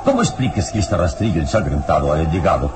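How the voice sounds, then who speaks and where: An actor playing a calm, authoritative heroic adventurer in a radio serial, speaking deliberately. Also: male voice, a bass voice, background noise. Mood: coaxing, probing. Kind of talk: old radio serial acting. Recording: old radio serial with music. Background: dramatic music.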